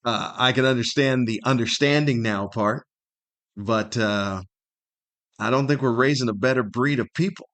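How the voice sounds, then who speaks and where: A man's voice: medium pitch, moderate pace, a bit bright. Grumbling middle-aged male podcast host. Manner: grumbling, incredulous rhetorical questions. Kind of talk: humorous opinionated solo monologue.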